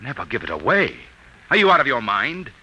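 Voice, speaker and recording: An actor playing an urgent, persuasive army major with a commanding manner in a vintage radio drama. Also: male voice, medium pitch, huge pitch swings, fairly quick, lo-fi sound, full character voice. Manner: forceful, commanding. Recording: slightly noisy old radio recording.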